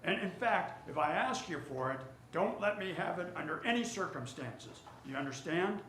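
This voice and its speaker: A man playing a smooth, self-assured department store tycoon, brisk and commanding, in a radio drama. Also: on the high side, animated, fairly bright tone. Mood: guarded. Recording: roomy stage recording, faint ambient noise.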